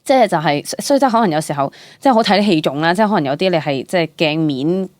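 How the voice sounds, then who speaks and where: A young woman with a low voice and a Hong Kong Cantonese accent, recorded close and dry. She talks casually as an actress being interviewed, colloquial and full of exclamations. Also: lively intonation, very fast, a little mellow, no room sound. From Hong Kong, Southern District. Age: young adult. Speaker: actress, podcast guest.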